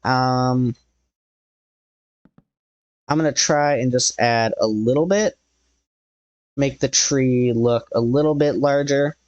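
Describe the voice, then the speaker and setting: A man walks viewers through a building tutorial in a relaxed, thinking-aloud way, his voice a little hoarse because he is losing it. He sits at a middle pitch, and the recording is dry and close. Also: slow pace, long pauses, no room sound, dull audio. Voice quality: slightly hoarse. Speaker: tutorial host. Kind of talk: casual game building tutorial.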